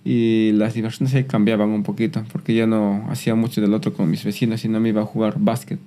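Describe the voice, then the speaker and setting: A man, giving a personal testimony in a soft, reflective way with some hesitant restarts. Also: lowish voice, little pitch movement, fast, a little hiss or hum. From Peru. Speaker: guest.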